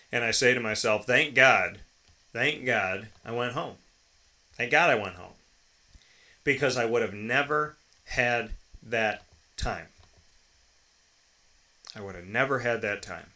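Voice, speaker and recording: An American man in his thirties delivers a motivational, personal monologue as a show host. His voice is mid-pitched with a bright, forceful edge. He leans on key phrases, repeating them and posing questions straight to the listener. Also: unhurried, long pauses. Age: 30s. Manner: emphatic, repeats phrases and poses rhetorical questions.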